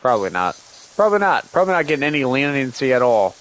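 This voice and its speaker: A man's voice: medium pitch, sing-song, fast talker, fairly bright tone, rough recording. A man doing casual livestream game commentary, sarcastically grumbling and talking back to the game's characters, still getting over a cold with a lingering cough. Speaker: gaming streamer.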